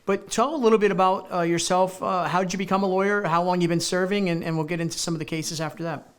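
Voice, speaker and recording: A man's voice: high-pitched, steady tone, fast talker, clear, resonant tone. A man hosting a local television talk show in a studio, enthusiastic and rambling, with many fillers and restarts.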